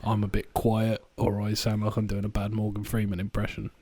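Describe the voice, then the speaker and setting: A man hosting a casual podcast, hoarse from a cold, with blunt, sweary banter. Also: fairly low pitch, monotone, fairly quick, darkish tone, dry recording. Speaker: podcast host. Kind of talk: casual chat.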